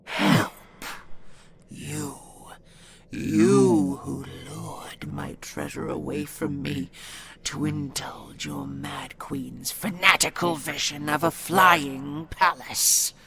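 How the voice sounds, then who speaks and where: A woman playing a proud, possessive female dragon in an audio roleplay, haughty and theatrical, with a gravelly, menacing delivery. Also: deep voice, lively intonation, a bit bright, exaggerated voice. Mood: furious, menacing. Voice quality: gravelly, breathy growls. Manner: haughty, theatrical, slow deliberate threats.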